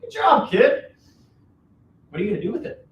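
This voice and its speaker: Seeking an actor for a scheming, wheedling old dad for a stage reading; animated, repeats himself, distant from the mic. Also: medium pitch, moderate pace. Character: Joe, cajoling, exasperated older father, scheming scavenger. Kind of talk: dramatic play reading. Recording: roomy, distant mic, faint noise.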